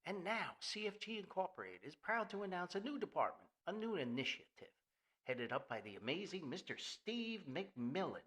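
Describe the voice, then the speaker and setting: A man's voice: sits high, big pitch swings, normal speed, fairly bright tone. An older man hosting an informal podcast in a folksy, jokey, rambling way with theatrical emphasis. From New Jersey, United States. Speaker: podcast host. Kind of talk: informal solo podcast monologue with sponsor reads.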